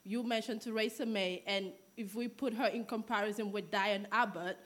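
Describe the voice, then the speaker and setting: A woman's voice: medium pitch, steady tone, moderate pace. A woman from Europe making an earnest, insistent comment and question from the audience of a panel discussion. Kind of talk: spontaneous public comment and question.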